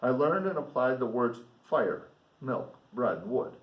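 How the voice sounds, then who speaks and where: A man's voice: mid-range voice, sing-song, muffled recording. Man reading aloud in an echoey room. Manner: deliberate, explanatory, pausing to comment for students. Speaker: teacher reading a novel aloud with commentary. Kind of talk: read-aloud lesson with casual asides. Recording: roomy echo, distant microphone.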